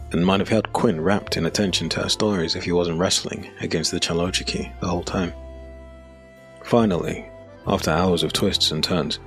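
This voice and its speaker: A man reads dark fantasy narration for an audio drama. His voice is deep and low, and he tells the story from a script with music playing faintly underneath.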